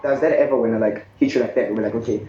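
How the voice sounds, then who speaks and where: A man's voice: mid-range voice, rapid-fire, warm tone. A man with a South African accent hosting a podcast, talking earnestly with many restarts and bits of Zulu. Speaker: podcast host. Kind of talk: casual chat. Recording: dull echoey room sound.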